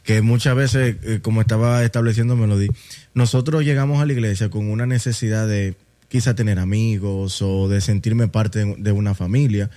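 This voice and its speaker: A man's voice: lowish voice, steady tone, normal speed, dark tone. A man reflecting and explaining in a casual group discussion about faith.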